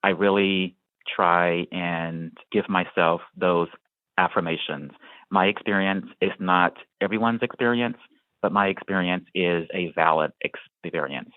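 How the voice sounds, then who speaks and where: Deep-voiced male guest, measured and reflective, phone-quality remote audio. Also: no echo. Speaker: guest, speech-language pathologist and researcher. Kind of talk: interview answer. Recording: tinny phone-like remote line, dry.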